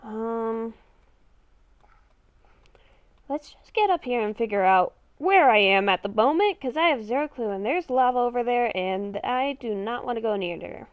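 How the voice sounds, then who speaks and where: A woman playing a confused, exasperated young werewolf-vampire hybrid in an improvised game roleplay, thinking aloud in a halting, dramatic way. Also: fairly high pitch, animated, clear, resonant tone, dry recording, narrow, tinny audio, pitched below the performer's own voice, close to an everyday voice. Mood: anxious, exasperated. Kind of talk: improvised Minecraft roleplay narration while playing.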